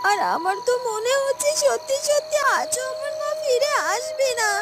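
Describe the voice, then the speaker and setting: A woman's voice: extremely high pitch, moderate pace, loud music behind the voice. A woman voicing an anxious, devoted poor little girl in a cartoon, pleading in a whiny way. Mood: worried, tearful. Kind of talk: Bengali cartoon voice acting.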